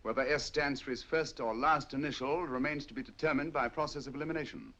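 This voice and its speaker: A man playing a shrewd, calm detective in an old radio drama, speaking in a measured, courteous, dryly confident way. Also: mid-range voice, natural voice. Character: shrewd, calm male detective, middle-aged. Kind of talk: 1940s radio acting. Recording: dull old radio audio.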